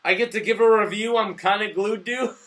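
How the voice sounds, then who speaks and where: A drunk man co-hosting a casual, sweary movie review, excitable and given to shouting. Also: sits high, a little fast.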